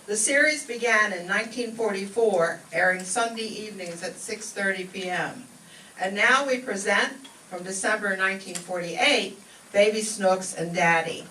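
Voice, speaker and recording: Bright-voiced female emcee, echoey hall. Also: medium pitch, normal speed. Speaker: master of ceremonies. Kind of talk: scripted introduction. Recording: echoey hall, distant, noisy.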